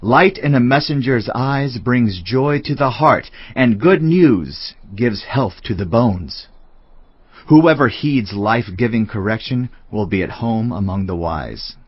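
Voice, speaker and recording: A man giving a dramatised reading of scripture in a solemn, measured delivery. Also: mid-range voice, animated, no room sound, dull audio. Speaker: audio Bible reader. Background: clear background music.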